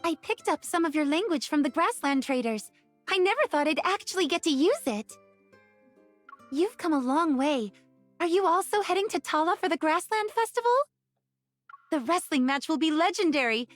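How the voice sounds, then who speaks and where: An actress playing a kind, forthright young shepherdess from a grassland tribe in a romance game. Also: female voice, extremely high pitch, lively intonation, normal speed, soft background music. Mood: friendly. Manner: bright, forthright, welcoming. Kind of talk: game character dialogue.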